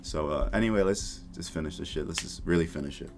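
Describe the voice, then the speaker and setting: A man's voice: extremely low pitch, fast talker, background noise. A man giving casual reaction commentary in a blunt, slangy, profane way. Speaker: YouTube commentator. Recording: music behind the voice. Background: background music.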